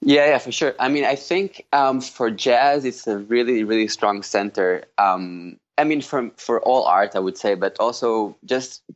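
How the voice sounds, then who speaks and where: A man in his thirties with an Israeli accent, chatting as an interview guest in a loose, halting way. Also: mid-range voice, muffled recording. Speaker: podcast guest, jazz guitarist. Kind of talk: casual conversational interview.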